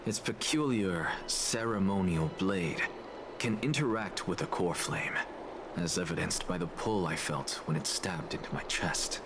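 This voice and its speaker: An actor voicing an aloof, self-assured scholar in a fantasy video game, lecturing in a measured, precise way. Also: male voice, sits low, lively intonation, noisy background. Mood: cool, analytical.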